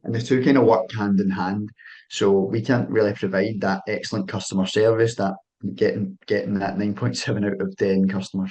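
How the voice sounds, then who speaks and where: A young man with a Scottish accent and a very deep voice talks through a sales webinar on a video call. He fills gaps with "um", doubles back on his words, and keeps a friendly, chatty tone. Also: fairly even intonation, fairly quick, darkish tone. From Scotland. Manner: filler-heavy, restarts phrases, friendly sales tone. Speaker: webinar presenter. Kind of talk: business webinar presentation.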